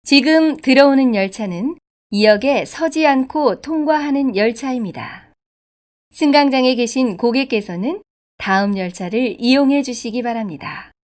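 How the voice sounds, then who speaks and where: A woman reading scripted subway station announcements in a formal, polite, measured way. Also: fairly high pitch, lively intonation. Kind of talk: scripted public transit announcement.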